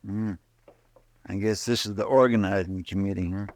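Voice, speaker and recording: An actor playing an earnest, plainspoken deputy sidekick in a 1950s radio drama. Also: male voice, fairly low pitch, fairly even intonation, moderate pace, darkish tone, natural voice. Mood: plain.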